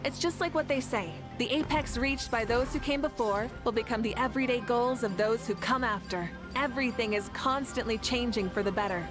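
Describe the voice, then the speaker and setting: A woman voicing a gentle, thoughtful young female companion in a video game. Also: a little high, fairly quick, hardly any pauses, close to an everyday voice. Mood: thoughtful, admiring. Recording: game audio with music behind.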